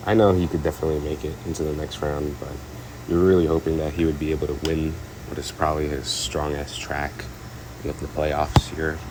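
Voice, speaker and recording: Stuffed up guy, super deep voice, umming through a racing recap, light ac hum in the back. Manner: rambling, hesitant, many fillers and restarts. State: congested, stuffed up. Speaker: racing commentator. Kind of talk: casual race recap.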